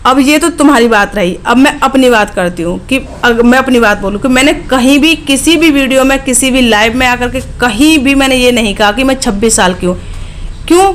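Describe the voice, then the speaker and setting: A woman's voice: fairly high pitch, expressive, brisk, slight background noise. Chatty woman vlogger, late twenties. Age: late 20s. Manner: chatty, direct, frequent "okay" check-ins. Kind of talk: casual chat to viewers.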